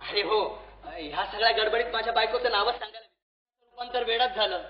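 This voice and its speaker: A man acting a put-upon, exasperated father in a comic stage play, pleading loudly and theatrically with his listeners. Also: high voice, a little fast, noisy background, natural voice. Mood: aggrieved complaining. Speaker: stage actor and narrator. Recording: echoey hall, muffled sound, faint music.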